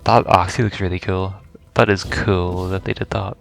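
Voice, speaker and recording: A man's voice: deep voice, fairly even intonation, moderate pace, creaky, faint music behind. A man giving casual first-reaction commentary on a video game, rambling and thinking aloud as he goes. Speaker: gaming video host.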